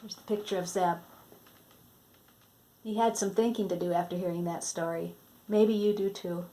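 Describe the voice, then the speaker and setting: A woman reading a Christmas storybook aloud in an expressive, dramatic way, letting phrase endings fall hushed. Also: on the low side, normal speed, low-quality recording. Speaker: storybook reader.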